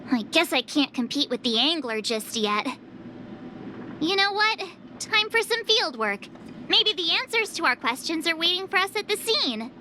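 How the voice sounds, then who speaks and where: Perky, high-pitched young girl detective. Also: big pitch swings, moderate pace, pushed and bright, slight background noise, heavily acted. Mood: conceding, determined. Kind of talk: game voice acting.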